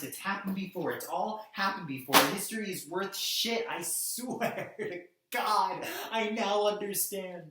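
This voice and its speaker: A man's voice: high-pitched, a bit bright. Man acting an impassioned activist, echoey room. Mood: angry, desperate. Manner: emphatic, halting delivery in short weighted phrases. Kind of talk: theatrical dramatic monologue.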